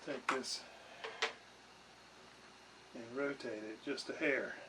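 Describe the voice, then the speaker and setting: A noisy recording of a man with a mid-pitched voice talking through a hands-on repair. He thinks aloud casually, muttering short fragments to himself as he works. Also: steady tone, slow, sharp tone. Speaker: radio repair hobbyist demonstrating a restoration.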